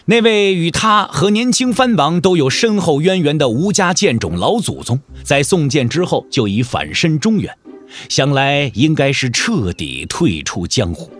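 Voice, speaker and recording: Animated, higher-pitched male storyteller. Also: unhurried, soft background music. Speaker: narrator. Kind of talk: dramatic scripted audiobook narration.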